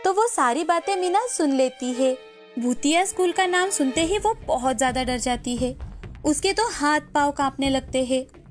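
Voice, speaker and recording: A woman's voice: high voice, lively intonation, moderate pace, faint music behind. A woman reading a scripted children's story as its narrator.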